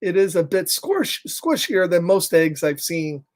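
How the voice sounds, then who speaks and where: A man voicing an excitable, huffy male duck in a children's picture book. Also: high-pitched, measured pace. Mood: sheepish. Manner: exclamatory, huffy.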